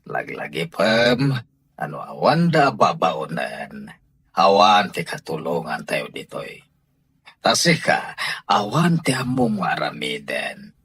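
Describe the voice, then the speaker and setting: A man's voice: lowish voice, sing-song, slow pace, a bit bright, husky, exaggerated voice. A man with an Ilocano accent playing a firm, sanctimonious husband and head of household in a radio drama. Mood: insistent.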